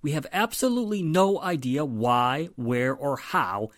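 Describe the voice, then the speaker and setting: A man's voice: fairly high pitch, animated, measured pace, no room sound, clean studio sound. A man playing an exasperated, firm police inspector, emphatic and stern, in 1940s radio drama style. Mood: grave, confiding.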